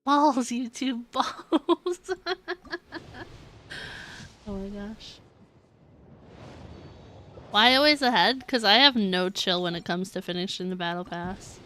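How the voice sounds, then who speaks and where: A woman's voice: mid-range voice, takes it slowly, pauses often, bright, forceful tone. A woman chatting casually and playfully on a gaming livestream, giggly and given to exclamations. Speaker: game live streamer.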